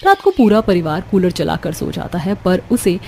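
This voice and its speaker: A woman's voice: lowish voice, very animated, normal speed, warm tone, slight background noise. A woman reading scripted narration for a cartoon story. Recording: music behind the voice. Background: background music.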